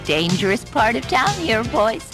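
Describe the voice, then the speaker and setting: A woman's voice: on the high side, very animated, a little slow, over music. An actress playing a crafty, deceptively friendly old lady mugger in a radio play. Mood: falsely friendly. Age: older. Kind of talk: audio drama acting.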